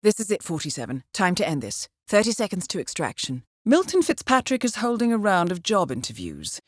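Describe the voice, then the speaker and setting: Female mission handler, composed, wry, clipped, expressive pitch movement, dry studio sound, scripted game briefing. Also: brisk, no echo. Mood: cool, urgent. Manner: composed, clipped, wryly understated. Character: poised, dry-witted female intelligence handler guiding an assassin.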